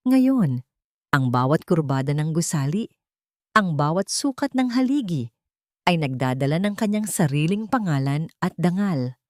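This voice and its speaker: Low-pitched woman narrating a dramatic story. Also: a little mellow, no echo, studio quality. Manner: measured, literary storytelling delivery.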